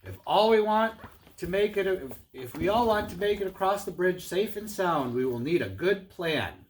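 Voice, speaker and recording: A man's voice: high-pitched, expressive, normal speed, pitched above the performer's own voice. Man playing a big, fearless billy goat leader. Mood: resolute. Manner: confident, resolute. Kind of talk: storybook read-aloud. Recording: clear background noise.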